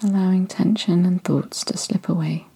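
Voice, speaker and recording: A woman guiding a body-scan meditation in a soft, soothing voice that often drifts into whispers. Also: lowish voice, steady tone, normal speed, husky, poor audio. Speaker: meditation guide.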